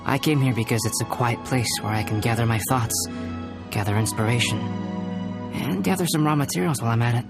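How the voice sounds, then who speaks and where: An actor playing a calm, cerebral young male alchemist in a video game, speaking formally, precisely and with composure. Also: medium pitch, fairly quick, gravelly, close to an everyday voice. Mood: calm, polite. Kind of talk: video game character acting. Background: background music.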